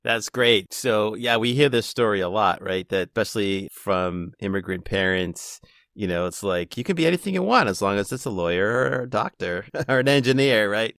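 A man's voice: fairly low pitch, lively intonation, normal speed, fairly bright tone, no echo, studio quality. A man with an American accent hosting a casual interview, asking informal, encouraging questions. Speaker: interview host.

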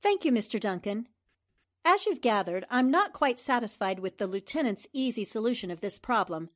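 A woman's voice: fairly high pitch, expressive, normal speed, close to an everyday voice. A woman playing a self-assured, inquisitive newspaper reporter turned detective, articulate and probing, in 1940s radio drama style.

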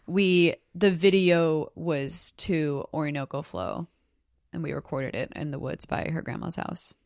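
An excitable young woman from Southeast Alaska chats on a podcast and shares trivia with gleeful enthusiasm. Her voice sits on the low side, and the sound is close and dry with no room echo. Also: a little slow, creaky. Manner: excited, storytelling, breaks into laughter. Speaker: podcast guest. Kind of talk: casual chat.

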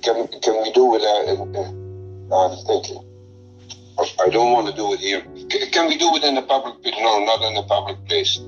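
A hesitant man thinks aloud on a phone call. His voice is on the low side and comes through muffled and lo-fi, with faint music behind it. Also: moderate pace. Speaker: phone caller.

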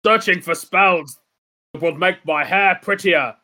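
A man's voice: high-pitched, unhurried, bright, forceful tone. A man voicing a vain, scheming old wizard in a grand, theatrical manner for an improvised comedy scene. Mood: brooding.

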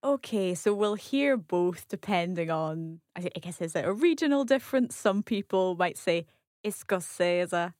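A woman's voice: fairly low pitch, animated, moderate pace, a little mellow, no echo, studio quality. Curious Scottish woman learner. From Scotland. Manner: curious, asks follow-up questions, repeats phrases back. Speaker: language learner co-host. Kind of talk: language lesson dialogue.

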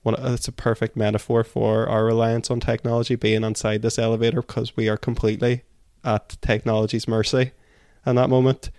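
A man co-hosting a book podcast, giving measured, analytical thoughts in a conversational way. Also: mid-range voice, little pitch movement, a little mellow, smooth, no echo, studio quality.

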